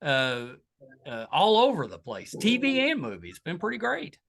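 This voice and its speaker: Male podcast host, fairly high voice, bright tone, upbeat and agreeable interviewer. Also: wildly swooping pitch, moderate pace, gravelly. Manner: upbeat, agreeable, short affirmations. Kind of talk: casual interview.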